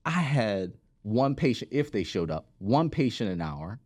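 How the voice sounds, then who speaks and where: A man's voice: on the low side, very animated, measured pace, a little mellow, dry recording, clean studio sound. A man speaking as an interview guest, telling his story conversationally, stressing key words and often checking in with "right?". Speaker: podcast guest, physical therapist and business founder.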